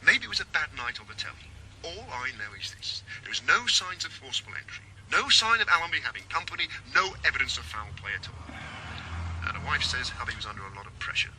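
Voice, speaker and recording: An actor playing a curt, exasperated police detective. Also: male voice, medium pitch, very animated, fast, lightly acted. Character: impatient police detective, middle-aged man, dismissive. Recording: old radio drama with clear hiss.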